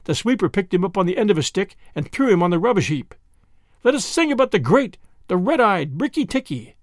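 A man reading aloud and voicing an excitable, feather-brained little male songbird in a gushing, sing-song way. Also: high-pitched, a little fast, no room sound, clean studio sound.

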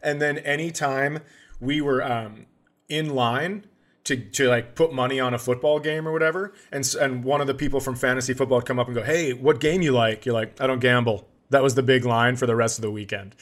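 A man's voice: mid-range voice, fairly even intonation, brisk, a bit bright. A man co-hosting a comedy podcast, telling casual anecdotes with wry humor, swearing and quoted dialogue.

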